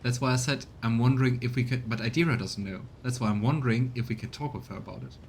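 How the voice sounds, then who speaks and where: A man's voice: mid-range voice, fast talker, gravelly, a little hiss or hum. A man casually commentating on a video game, musing aloud and chatting with his viewers as he plays. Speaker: streamer doing a voiced let's play.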